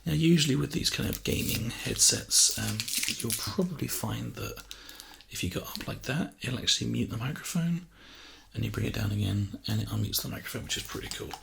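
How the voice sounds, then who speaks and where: A man doing a casual, reassuring ASMR sales role play, speaking softly and chattily into a close binaural microphone. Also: mid-range voice, expressive, a little fast. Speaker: ASMR performer playing a personal shopper. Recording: close binaural mic, clear background hiss.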